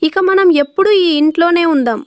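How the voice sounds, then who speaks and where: Woman voicing a high-pitched cartoon mother pigeon. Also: animated, brisk, dry recording, clean studio sound. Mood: delighted. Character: worried, outspoken young mother pigeon. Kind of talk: children's cartoon voice acting.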